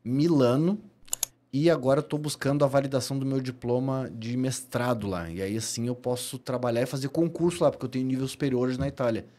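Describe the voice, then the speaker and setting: Fast-talking, emphatic Brazilian man. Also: medium pitch, mellow. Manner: emphatic, repeats key phrases. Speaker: podcast guest, personal trainer. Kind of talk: animated storytelling in a podcast interview.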